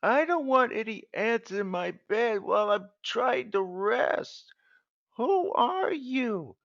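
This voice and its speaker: A man voicing a sick young man who turns into a dog, talking in groans and shrill panic. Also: sing-song, slow, fairly bright tone, muffled recording, heavily acted. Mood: panicky.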